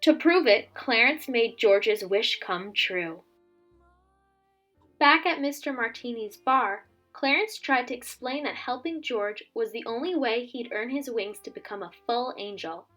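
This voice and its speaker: A woman reading a children's story aloud as a teacher would, with dramatic, expressive delivery. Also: a little high, measured pace. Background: background music.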